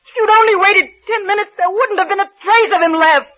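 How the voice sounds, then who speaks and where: An actress playing a scornful, murderous wife in a furious rage, in 1940s radio melodrama style. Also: female voice, very high-pitched, brisk, bright, forceful tone. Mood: scornful fury. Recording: dull old radio broadcast.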